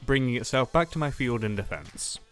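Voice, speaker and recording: A man voices a confident, slightly absent-minded card duelist in an anime-style roleplay. His mid-pitched voice moves up and down in a lively way as he thinks aloud. Faint music plays behind him. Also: fairly quick, lightly acted. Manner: thinking aloud with hesitant hums. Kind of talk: improvised anime-style roleplay dialogue, game commentary.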